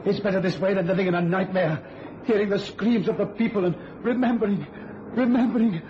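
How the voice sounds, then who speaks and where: A man's voice: high voice, brisk, dull audio, close to an everyday voice. An actor playing a haggard man tormented by guilt, anguished and fatalistic, in melodramatic old-time radio style. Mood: anguished, frantic. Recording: noisy, band-limited old radio recording.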